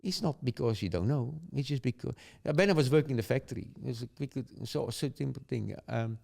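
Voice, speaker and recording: A man's voice: medium pitch, expressive, fast talker, vocal fry, a little mellow. An older man from the Netherlands with a Dutch accent, telling stories in casual chat, chuckling often and rambling with tag questions. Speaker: guest.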